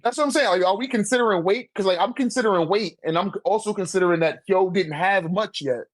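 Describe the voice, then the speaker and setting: A man's voice: high voice, fast, no echo. A man arguing his point in an informal, slangy way, often checking that the listener follows, as in a casual debate. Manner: repeatedly checks for agreement. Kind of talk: casual debate about a manga ranking.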